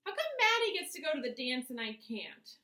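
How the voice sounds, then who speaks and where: Whiny young boy, played by a woman, high, excitable, bright, storybook reading, roomy echo. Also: a little fast, recorded from a distance, full character voice. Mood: whining.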